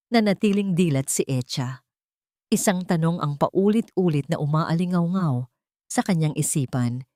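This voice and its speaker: Filipino woman narrating drama, low and dry. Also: darkish tone, dry recording. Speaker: narrator of late-night drama stories. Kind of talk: scripted dramatic story narration.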